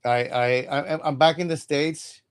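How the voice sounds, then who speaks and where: A man from Cuba, reading tarot cards in a halting, casual livestream chat and often repeating his words. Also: fairly high pitch, fairly even intonation. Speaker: tarot reader.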